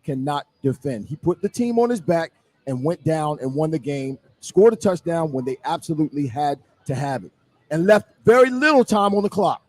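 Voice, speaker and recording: A man's voice: on the high side, animated, normal speed, a little mellow, no echo. A man giving football commentary as a radio co-host, emphatic and repeating phrases for weight. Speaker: sports radio analyst and co-host. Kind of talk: football commentary in casual discussion. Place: live remote broadcast at a casino.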